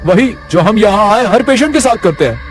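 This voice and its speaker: Guy doing a menacing cartoon villain, threatening and mocking, pitched up, music behind. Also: animated, fairly quick. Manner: taunting, threatening. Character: menacing scheming male hospital organ trafficker, mocking and cold.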